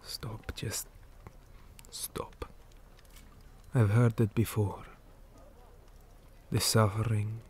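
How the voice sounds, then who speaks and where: A man playing a weary, stern orc commander in an ASMR roleplay, speaking in a hushed, deliberate manner that often drops toward a whisper. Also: fairly low pitch, slight background noise. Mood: grave, weary. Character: weary male orc commander, stern, measured, dutiful soldier. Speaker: interrogator in a roleplay.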